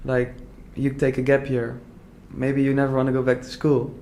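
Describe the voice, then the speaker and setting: A man's voice: medium pitch, monotone, moderate pace, a little mellow. A young man from the Netherlands talking casually and earnestly in an interview, full of "like" fillers and restarts. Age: young adult. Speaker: entrepreneur guest.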